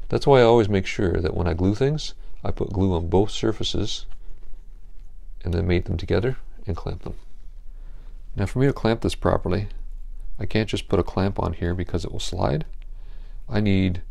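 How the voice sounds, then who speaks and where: A man in his forties calmly explaining a furniture repair step by step while working in his home wood shop. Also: low voice, moderate pace, vocal fry, pauses often. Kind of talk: hands-on repair tutorial.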